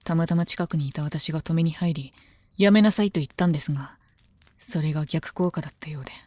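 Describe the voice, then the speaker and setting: A woman playing a composed, devoted female knight of common birth in a Japanese voice drama, speaking calmly, politely and softly. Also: on the low side, dry recording, natural voice. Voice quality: calm, composed. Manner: polite, deferential, soft-spoken. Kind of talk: Japanese voice drama, intimate scripted dialogue.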